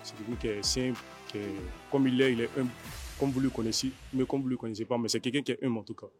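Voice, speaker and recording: Ivorian man, medium-pitched, informal. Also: steady tone, fast, music in the background. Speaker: fighter's older brother being interviewed. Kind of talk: informal interview.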